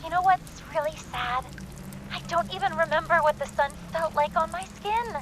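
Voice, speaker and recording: A woman's voice: very high voice, moderate pace, noisy background. An actress playing a quirky young woman sidekick in a video game, playful and chatty. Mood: wistful. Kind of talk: video game character acting.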